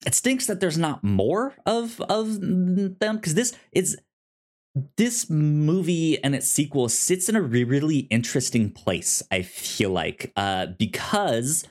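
Halting, enthusiastic male podcast co-host. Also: on the high side, big pitch swings, darkish tone. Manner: halting, repeats words, stammering starts. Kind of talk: casual movie discussion.

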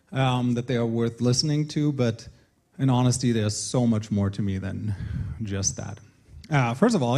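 A middle-aged man with a German accent speaks as a conference presenter. His voice sits at a medium pitch. He tells his personal story earnestly, with a light, self-deprecating humour. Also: warm tone. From northern Germany. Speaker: conference speaker, games creative director. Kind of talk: personal talk to an audience.

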